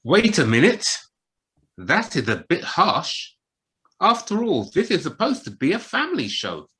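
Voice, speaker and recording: A woman acting and narrating in a children's audio play, expressive and deliberate. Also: lowish voice, full character voice.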